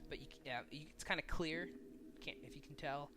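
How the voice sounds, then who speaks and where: A man hosting a casual screen-share tutorial, talking haltingly as he thinks aloud and demonstrates. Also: a little high, fairly even intonation. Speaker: tutorial host. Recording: music playing behind the voice. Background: loud background music.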